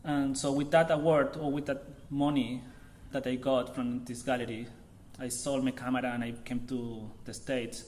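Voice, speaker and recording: A man's voice: medium pitch, little pitch movement, a little mellow, a little hiss or hum. Chilean man in his thirties giving an artist talk. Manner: halting, informal, searching for words. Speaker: visiting artist.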